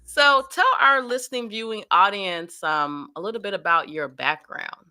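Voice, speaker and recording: A woman hosting an interview show, introducing her guest in a deliberate, welcoming way. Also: medium pitch, bright, forceful tone, no echo, studio quality. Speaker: host.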